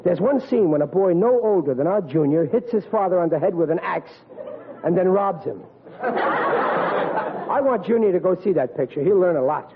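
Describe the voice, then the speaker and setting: Tinny old-radio blustering working-class New dad. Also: male voice, high-pitched, lively intonation, moderate pace, crowd noise. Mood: enthusiastic. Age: middle-aged. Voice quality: working-class, ungrammatical speech. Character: blustering, fretful working-class riveter father. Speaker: actor in the lead role. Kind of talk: 1940s radio comedy acting.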